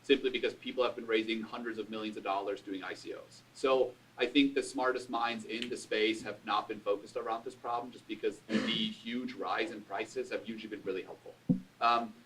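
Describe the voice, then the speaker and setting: A man speaking on a business forum panel in a relaxed, casual way, sprinkling in fillers. His voice is fairly low and sounds distant, caught by a microphone some way off. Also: brisk, background noise. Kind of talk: panel discussion. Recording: distant room mic.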